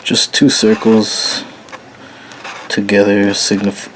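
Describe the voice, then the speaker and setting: Slow, low-voiced man rambling casually. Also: monotone, a little hiss or hum, rough recording. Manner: slow, rambling, frequent fillers, laid-back. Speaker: painting tutorial host. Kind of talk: casual narration while painting. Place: small room at a painting table.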